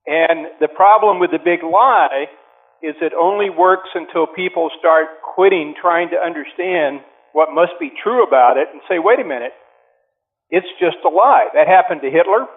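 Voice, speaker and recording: A man's voice: fairly high pitch, moderate pace. Man on a tinny phone line. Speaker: advocacy group president, interview guest. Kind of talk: phone interview, explanatory opinion talk. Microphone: telephone.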